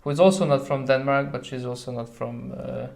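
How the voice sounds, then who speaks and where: A man's voice: mid-range voice, fairly even intonation, fairly quick, creaky, a little mellow. A man from Hungary with a Hungarian accent, chatting casually as an interview guest in a somewhat hesitant way. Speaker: podcast guest.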